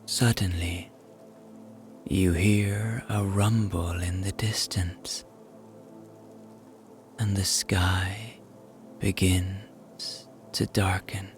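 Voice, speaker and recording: A man narrating a scripted guided meditation in a soothing, hushed, gentle manner. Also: low voice, steady tone, drawn-out words, pauses often, faint music behind.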